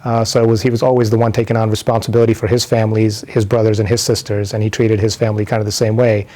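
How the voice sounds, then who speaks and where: A man in his forties, raised in New York City, gives an unscripted personal testimony. His voice is warm and mellow and stays fairly level in pitch. He talks thoughtfully, with plenty of "uh" and "um", and often restarts his sentences. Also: flat delivery, quick pace. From New York City, United States. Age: 40s. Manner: thoughtful and rambling, with many fillers and restarts. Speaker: interviewee sharing his conversion story.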